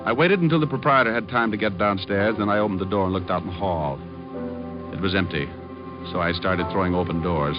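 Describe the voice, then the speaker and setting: A man's voice: low-pitched, fairly quick, lightly acted. An actor playing a dry, wisecracking male private detective who also narrates, in a 1940s radio drama. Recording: lo-fi old radio with music.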